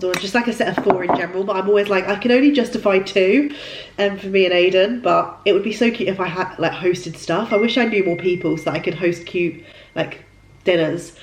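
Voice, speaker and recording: A woman's voice: mid-range voice, noisy background. Chatty young woman with a stuffy nasal voice. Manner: chatty, gushing, lots of fillers. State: congested, ill, nasal voice. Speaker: vlogger. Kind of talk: casual vlog chat.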